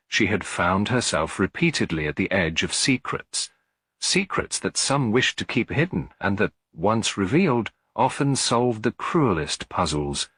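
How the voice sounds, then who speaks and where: Male audiobook narrator, low husky voice, expressive intonation, scripted mystery storytelling. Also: dry recording.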